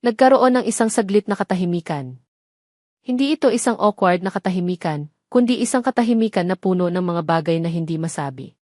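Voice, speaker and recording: A woman's voice: fairly low pitch, lively intonation, brisk, a little mellow, dry recording. Woman narrating a romance, close dry sound. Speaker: storyteller. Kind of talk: scripted dramatic audio story narration.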